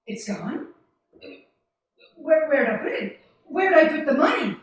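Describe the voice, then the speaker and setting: A woman's voice: high-pitched, very animated, a little slow. A woman playing a flustered, forgetful older uncle who stammers and repeats himself, in a 1940s-style radio play in a very echoey room. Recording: very echoey room, distant from microphone.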